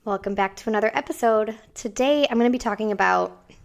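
Raspy-voiced woman hosting a podcast. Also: mid-range voice, quick pace. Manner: conversational, with frequent ums. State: has a cold, raspy. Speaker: podcast host. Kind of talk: solo business podcast talk.

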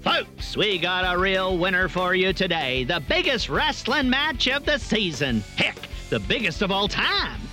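A man voicing an excitable, theatrical cartoon sidekick who delivers exaggerated, exclamatory play-by-play like a wrestling announcer. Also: high-pitched, measured pace, few pauses, heavily acted. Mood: excited showman. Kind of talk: exaggerated play-by-play sports commentary and comic narration. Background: music.

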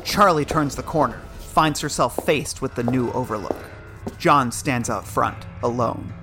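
A man giving measured, suspenseful scripted narration for an audio drama. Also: medium pitch. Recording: music and effects under narration.

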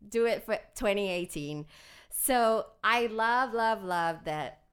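A woman's voice: mid-range voice, animated, takes it slowly, clean tone. A woman hosting a podcast in bubbly casual chat, laughing often between her lines. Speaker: podcast host.

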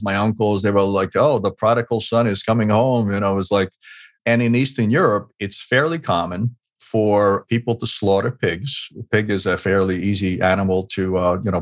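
A middle-aged man from Hungary, a guest on an interview show, telling a story in a loose conversational way with lots of "you know" and restarts. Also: on the low side. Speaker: guest, leadership expert.

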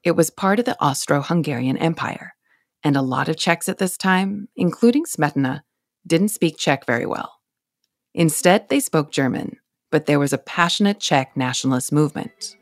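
Low-voiced woman narrating a podcast. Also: lively intonation, moderate pace, no room sound, studio quality. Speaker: podcast host.

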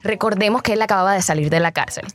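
Upbeat woman host over soft music. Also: medium pitch, racing. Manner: upbeat, mixes English words into her talk. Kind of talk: casual entertainment chat.

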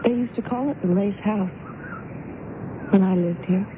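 A woman's voice: mid-range voice, moderate pace, natural voice. An actress in a 1970s radio drama playing a sweet, innocent, wistful young woman with a polite, timid, earnest manner. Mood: shy, polite. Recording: noisy phone-like old radio broadcast.

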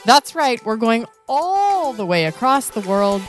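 A somewhat high-pitched woman hosts a podcast with an upbeat, playful delivery. She moves from a scripted opening into easy conversation with her guest. Soft music sits faintly underneath. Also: big pitch swings, measured pace. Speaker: podcast host. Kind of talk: scripted podcast intro, then conversational interviewing.

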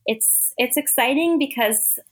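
A woman's voice: high-pitched, measured pace, poor audio. An American woman talking casually as an interview guest, chatty and often laughing as she speaks. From Hawaii, United States. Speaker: interview guest, author and women's travel entrepreneur.

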